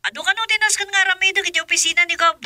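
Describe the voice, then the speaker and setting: An actress playing an eager, deferential household aide in an Ilocano radio drama, with a thin, shrill voice. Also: female voice, steady tone, racing, sharp tone, no room sound, clean studio sound, exaggerated voice.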